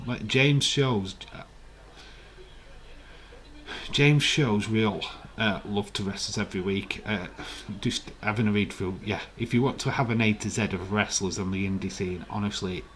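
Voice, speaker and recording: A man with a low, croaky voice rambles through a casual live-stream chat. He reads out viewer comments as he goes, with a faint hiss behind him. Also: steady tone, unhurried, a bit bright. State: hoarse, croaky voice.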